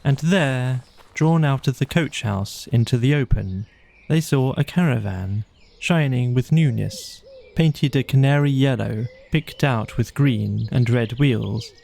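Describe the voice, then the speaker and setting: A man's voice: mid-range voice, unhurried, gravelly, darkish tone. A man reading scripted narration for a children's bedtime audiobook.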